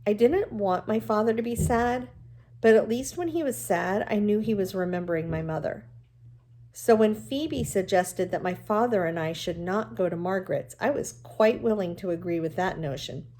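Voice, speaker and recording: A woman reading a novel aloud like a teacher, in an expressive storytelling manner with explanatory asides. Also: medium pitch, moderate pace, a little hiss or hum.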